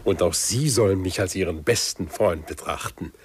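A man's voice: deep voice, normal speed, background noise. An actor playing a scheming, falsely fatherly middle-aged businessman in a 1950s German radio drama, unctuous and patronising. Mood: oily, soothing. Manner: unctuous, patronising, with smug chuckles.

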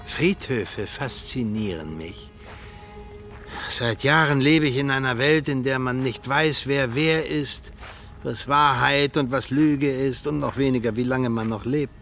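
An actor playing a cold, philosophical Soviet spy handler in a radio drama, deliberate and dryly menacing. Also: male voice, medium pitch, fairly bright tone, faint music behind. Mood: brooding.

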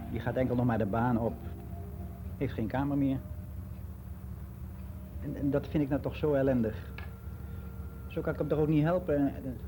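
A man's voice: mid-range voice, lightly acted. Actor as lonely older man, noisy recording. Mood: confiding, troubled. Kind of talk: naturalistic radio drama monologue, confessional. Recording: very noisy radio play recording.

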